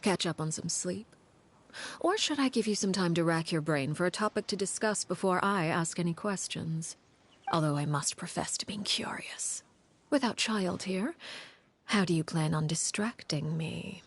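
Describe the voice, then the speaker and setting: An actress playing a cold, menacing female leader of a secretive house in a video game, speaking formally and with measured poise. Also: lowish voice, animated, husky. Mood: sly, composed. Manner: measured, formal, veiled threats.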